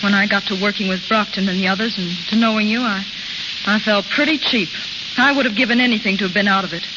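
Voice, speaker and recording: A woman's voice: mid-range voice, fast talker, lightly acted. An actress playing a composed, earnest and firm woman private detective in a 1930s radio drama. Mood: earnest, pleading. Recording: dull, very hissy, crackling 1930s radio broadcast.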